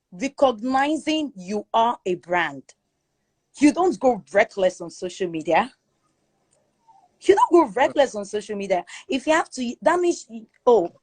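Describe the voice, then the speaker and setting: A woman with a Nigerian accent giving her opinion forcefully in a live chat room, repeating her points for emphasis. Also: fairly high pitch, dry recording. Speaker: guest speaker in a live audio chat room. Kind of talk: opinionated casual commentary.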